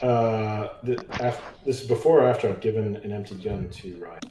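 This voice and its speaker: A man's voice: fairly low pitch, moderate pace. Male gamer, roomy and off-mic, playful casual talk, faint music behind. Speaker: tabletop game player. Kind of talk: casual game-table chat. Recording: roomy echo, distant from mic. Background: soft game soundtrack music.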